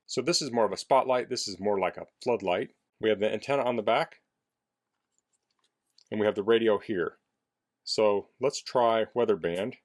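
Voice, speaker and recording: A man's voice: lowish voice, normal speed, long pauses. A man casually demonstrating a product indoors in a basement, explaining things in a plainspoken way as a reviewer.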